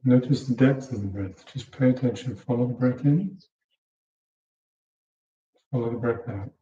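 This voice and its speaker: A man leading a guided meditation as a teacher, giving calm, unhurried instructions in short, soft phrases. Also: mid-range voice. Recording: muffled, echoey room, distant mic.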